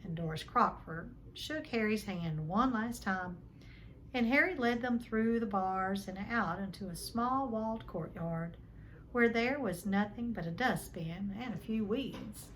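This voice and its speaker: A woman reading a children's novel aloud as an expressive storyteller. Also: mid-range voice, unhurried, a little hiss or hum, poor audio.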